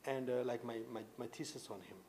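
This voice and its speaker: A man in his late thirties from India, with an Indian accent, answering interview questions earnestly with many halting fillers and restarts. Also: medium pitch, fairly even intonation, a little mellow. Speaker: poet guest. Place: indoor room with tapestries and a sofa.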